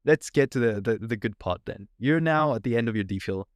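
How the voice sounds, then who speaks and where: A man's voice: mid-range voice, fairly quick, no room sound. Male podcast host, chatty questions, repeats words, dry close sound. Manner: lively, chatty, repeats words when starting. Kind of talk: casual interview questions.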